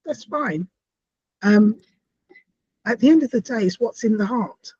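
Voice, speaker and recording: A woman from South America hosting a podcast interview, talking in halting, repeated starts with animated bursts. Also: fairly high pitch, slightly rough, dry recording, highs cut off. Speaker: podcast host. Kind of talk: conversational interview.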